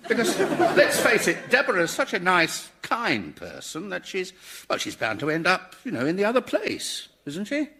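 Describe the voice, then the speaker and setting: A man's voice: sits high, wildly swooping pitch, moderate pace, sharp tone, full character voice. A man with a British accent playing an earnest, well-meaning professor in hell, hesitant and polite, in a radio sitcom. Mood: tentative, wheedling. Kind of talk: radio sitcom acting before an audience.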